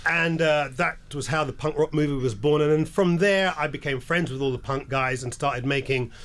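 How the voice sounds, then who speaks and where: A man's voice: a little high, normal speed, a bit bright. A jet-lagged older man from England, telling stories as a radio interview guest with a wry, laid-back manner.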